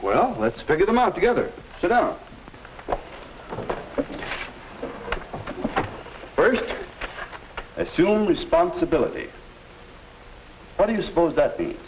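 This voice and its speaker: An actor playing a patient male teacher in a 1950s educational film, speaking in a measured, instructive way on an old soundtrack. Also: high-pitched, very animated, dull audio, slight background noise. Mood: patient, advising. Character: patient adult male school teacher counseling students.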